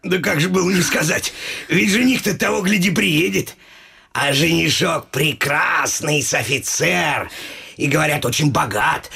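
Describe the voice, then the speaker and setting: An actor playing a talkative, simple-minded manservant in a radio comedy, with a husky, creaky voice and a folksy, deferential way of talking. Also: male voice, fairly high pitch, measured pace, bright, forceful tone, heavily acted. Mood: eager, coaxing. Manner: folksy, deferential, repeats a pet filler phrase. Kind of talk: theatrical radio comedy acting with some singing.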